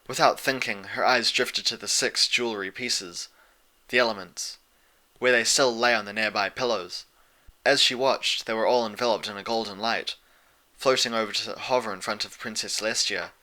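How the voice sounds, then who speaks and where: Very bright-voiced man narrating a story. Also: fairly low pitch, fairly even intonation, moderate pace. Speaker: audiobook narrator.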